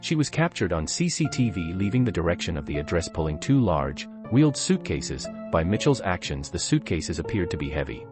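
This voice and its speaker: A man's voice: low-pitched, sing-song, fairly quick, few pauses, music in the background. A man reading scripted narration for a true crime documentary.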